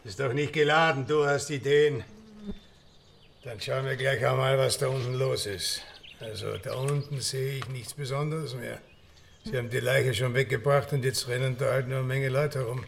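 A creaky mid-pitched man's voice with an Austrian accent , acted for a gruff rural bandmaster. He sounds dry and offhand, in radio drama style. Faint ambient noise sits behind him. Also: steady tone, moderate pace, natural voice. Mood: offhand, hospitable.

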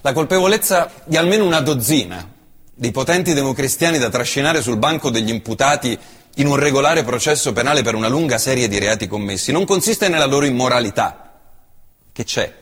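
A man performing a theatrical monologue, with impassioned declamation that swings between loud outbursts and hushed low lines. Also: lively intonation, brisk, slightly rough, big-room sound. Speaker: stage actor.

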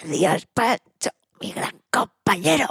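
A woman's voice: medium pitch, very animated, slow, vocal fry, a bit bright, breathy edge, dry recording, studio quality, voice pushed up, heavily acted. A woman voicing an affectionate monkey, a loyal travelling companion in a children's story. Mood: tender farewell.

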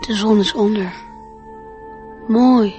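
A woman's voice: mid-range voice, fairly even intonation, takes it slowly, darkish tone, faint music behind, natural voice. A woman playing an innocent, wondering little boy in a radio play, naive and full of questions.

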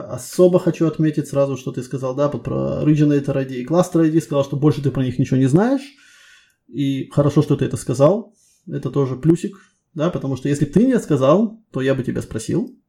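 Мужчина со скрипучим голосом, ободряющий интервьюер. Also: male voice, medium pitch, a little fast, gravelly, warm tone. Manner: reassuring, encouraging. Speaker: technical interviewer. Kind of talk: casual interview feedback.

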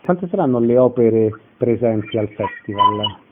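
Radio host, thin narrow sound. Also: male voice, mid-range voice, wildly swooping pitch, a little slow, very noisy. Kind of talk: radio interview.

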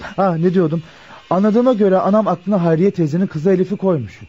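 A man's voice: high-pitched, fairly quick, mellow, slightly rough. An actor playing an affectionate, teasing young man back from military service in a radio play. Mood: easygoing, earnest. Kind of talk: naturalistic radio play dialogue. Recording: dull lo-fi radio play recording.

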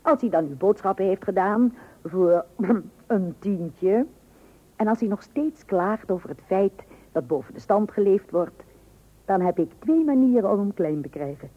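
Thrifty radio comedy housewife, insistent, fake innocent, kinda raspy, muffled old recording. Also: female voice, medium pitch, expressive, a little slow. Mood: sly lecturing. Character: teasing, stubbornly thrifty housewife and mother, Jean. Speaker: radio play actress. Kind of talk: Dutch radio comedy play acting.

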